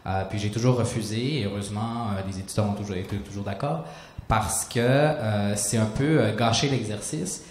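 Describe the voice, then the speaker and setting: A man's voice: fairly low pitch, normal speed, darkish tone. A man in his thirties, a native Spanish speaker, talks reflectively on a panel, searching for words and restarting phrases, into a handheld mic on a small stage. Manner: searching, restarts phrases, gestures while explaining. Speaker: author on a panel. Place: small hall stage with audience. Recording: roomy hall sound, faint noise.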